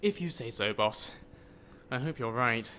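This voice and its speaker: An actor playing a polite, bookish, anxious adventuring companion in a fantasy video game. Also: male voice, medium pitch, animated, measured pace, bright, forceful tone. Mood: nervous, intrigued. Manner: polite, wordy, nervous.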